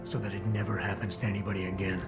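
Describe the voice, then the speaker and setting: Actor doing a low-voiced terse security chief, flat and dry, loud game music behind. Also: male voice, rapid-fire, close to an everyday voice. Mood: curt. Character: augmented security chief, terse and hard man. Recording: game cutscene with loud score.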